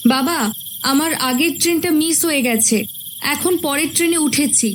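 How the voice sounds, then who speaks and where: A woman's voice: high voice, moderate pace, slight background noise, close to an everyday voice. A woman voicing a caring, dutiful young daughter in a horror cartoon. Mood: caring, reassuring. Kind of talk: animated horror cartoon dialogue.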